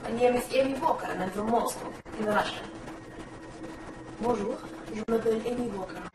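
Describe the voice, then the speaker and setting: A woman in her twenties introducing herself again and again, switching quickly between imitated accents. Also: a little high. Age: 20s. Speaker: accent performer. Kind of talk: accent demonstration video. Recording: distant echoey playback with noise.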